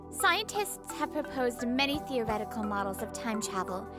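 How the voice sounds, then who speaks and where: Woman acting high-voiced, poised young girl genius. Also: big pitch swings, normal speed, over loud music. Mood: assured lecturing. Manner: polite, articulate lecturing. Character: poised young female genius scientist giving a lecture. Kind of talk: visual novel fan dub acting.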